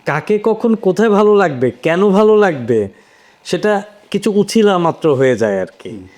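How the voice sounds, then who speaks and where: A man's voice: high-pitched, lively intonation, moderate pace, a little mellow. A man in a conversational literary discussion, explaining things by posing questions and then answering them. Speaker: voice actor on a discussion panel.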